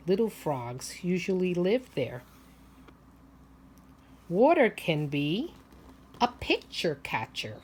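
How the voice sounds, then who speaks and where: A woman teacher with a slightly low voice reads a picture book to young children at a very unhurried pace. She explains things in simple words, puts questions to her listeners and stretches out the important words. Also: a little mellow, slightly rough. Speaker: preschool teacher.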